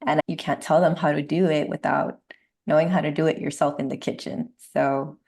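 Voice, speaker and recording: A woman's voice: deep voice, fairly even intonation, normal speed, creaky, a little mellow. A woman podcast host, herself a dietitian, asking questions and affirming her guest in a casual interview style.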